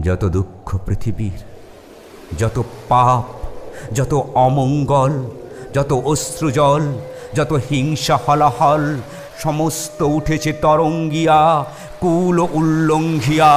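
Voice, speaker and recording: Slow, solemn man reciting in deep reverb. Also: slight background noise. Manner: solemn, declamatory, with measured dramatic pauses. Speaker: poetry reciter. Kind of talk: dramatic Bengali poetry recitation. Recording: long hall-like reverb. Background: music.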